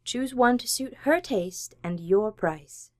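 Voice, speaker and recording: Dry close sound, female announcer, slightly high voice, scripted vintage radio ad. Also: expressive, takes it slowly, a little mellow, dry recording. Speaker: sponsor announcer.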